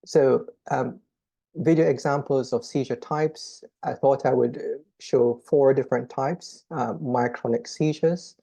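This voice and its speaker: A middle-aged man with a Jamaican accent giving a measured, explanatory conference lecture as a children's neurologist, pausing often on "um". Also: medium pitch, fairly even intonation, gravelly, warm tone, breathy edge. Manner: measured, explanatory, frequent "um" pauses.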